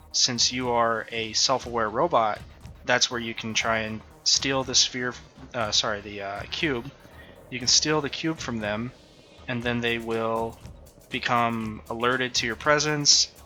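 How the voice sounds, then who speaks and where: A man's voice: mid-range voice, sharp tone. A man developing his own game, talking casually and thinking aloud with frequent ums and uhs as he shows it off. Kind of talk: casual devlog walkthrough. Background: faint background music.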